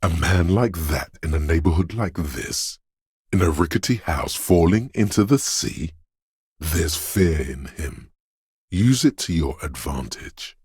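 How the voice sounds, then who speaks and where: Very deep, gravelly, menacing inner voice. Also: male voice, lively intonation, a little mellow, no echo, studio quality, exaggerated voice. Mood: menacing, fearful. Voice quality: gravelly, husky, breathy. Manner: slow, ominous, intense delivery. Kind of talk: dramatic video game voice acting.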